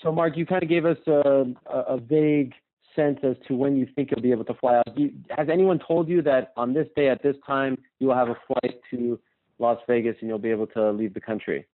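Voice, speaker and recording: A man's voice: mid-range voice, fairly even intonation, brisk, phone-quality sound. A man working as a journalist, asking questions on a press conference call over the phone.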